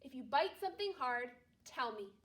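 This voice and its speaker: A woman's voice: high-pitched, sing-song, moderate pace, fairly bright tone, recorded from a distance. A woman voicing an eager, anxious young girl in a Christmas storybook, with urgent exclamations.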